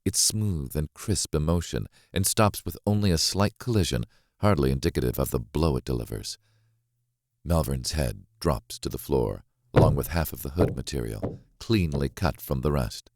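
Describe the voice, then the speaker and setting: A man narrating a thriller novel as a grave, watchful witness, measured and deliberate in his delivery. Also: extremely low pitch, animated, a little mellow, no room sound, studio quality. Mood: grave, suspenseful. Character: grave, watchful man recounting a horrific stage act. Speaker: audiobook narrator.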